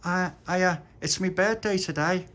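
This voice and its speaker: A man voicing an excitable, generous young boy on his birthday, in a high, breathless child voice. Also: normal speed. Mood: eager.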